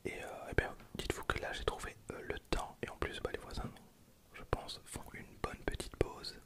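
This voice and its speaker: An ASMR host speaking in a soft, breathy whisper, chatting casually and informally to viewers in an unscripted, rambling way. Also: moderate pace. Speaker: host of an ASMR card-opening video channel.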